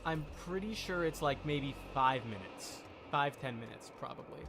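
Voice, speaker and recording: A man chatting casually while livestreaming a video game, rambling and thinking out loud in an unsure way. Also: mid-range voice, lively intonation, moderate pace, background noise.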